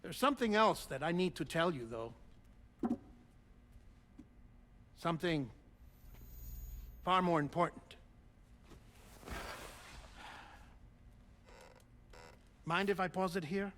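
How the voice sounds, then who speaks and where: Game cutscene: eccentric male scientist, conversational storyteller, theatrical and affable, higher register, faint background noise. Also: expressive, fairly bright tone. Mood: confiding. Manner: theatrical, conversational storytelling with chuckles and dramatic pauses. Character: eccentric, affable male researcher recording an explanatory message. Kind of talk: video game cutscene monologue, explanatory narration.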